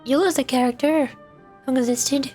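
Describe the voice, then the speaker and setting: An American woman streamer with a high-pitched voice chats casually through a game. Her manner is playful, with dry little jokes. Music runs clearly in the background. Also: steady tone, normal speed, a little mellow. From United States. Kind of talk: casual playthrough commentary.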